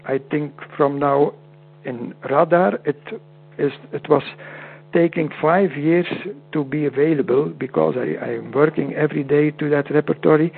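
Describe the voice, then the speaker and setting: A man with a Dutch accent whose first language is Dutch, speaking as a guest in an interview over a call, halting and often repeating words. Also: a little high, fairly even intonation, vocal fry, breathy edge. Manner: halting, repeats words, non-native phrasing. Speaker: guest homeopath. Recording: tinny phone-like line with hum.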